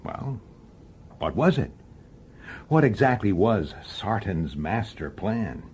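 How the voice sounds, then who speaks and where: An actor playing a sceptical, hot-headed police detective in a radio drama, blunt and argumentative. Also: male voice, on the low side, sing-song, takes it slowly, a little mellow, slight background noise.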